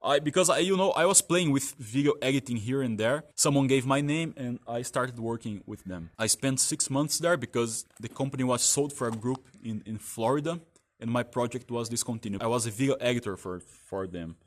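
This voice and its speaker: A man whose first language is Portuguese, talking casually about his work history in a halting way with many restarts and fillers. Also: medium pitch, no echo. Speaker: vlogger telling his career story. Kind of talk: casual spoken monologue.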